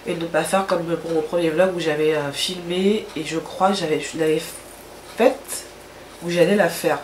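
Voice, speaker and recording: A woman's voice: fairly low pitch, steady tone, normal speed, roomy sound, slight background noise, low-quality recording. A woman with a stuffy, nasal voice from a cold, chatting casually to camera in a rambling way with many hesitations. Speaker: vlogger.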